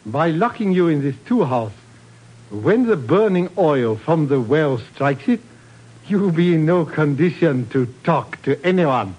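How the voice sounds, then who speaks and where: An actor playing a polite, formal, two-faced villain with precise speech in a 1950s radio drama. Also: male voice, a little high, lively intonation, a little slow, a little mellow, highs cut off. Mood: coolly menacing. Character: smooth, formal, duplicitous Indonesian male oil geologist villain. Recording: old radio broadcast with hiss.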